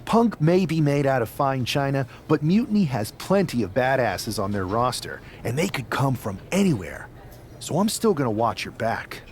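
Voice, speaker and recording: Man playing protective wrestler pal for game cutscene; conversational but animated, earnest. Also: medium pitch, moderate pace, slight background noise, lightly acted. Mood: wary. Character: loyal male wrestler ally, wary and protective. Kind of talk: video game cutscene acting.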